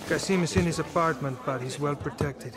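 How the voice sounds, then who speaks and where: A man's voice: a little high, brisk, noisy background, natural voice. An actor playing a guarded, businesslike male informant in a game.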